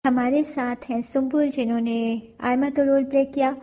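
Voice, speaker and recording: A woman's voice: high-pitched, steady tone, normal speed, loud music behind the voice. A woman hosting a casual radio-show interview, dropping English phrases into her talk. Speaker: host.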